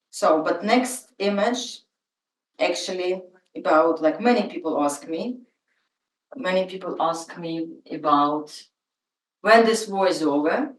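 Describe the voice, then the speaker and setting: Ukrainian-accented woman lecturing in a room. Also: medium pitch. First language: Russian. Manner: halting, personal, emotional at times. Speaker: artist giving a lecture. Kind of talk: informal lecture. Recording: roomy, from far off.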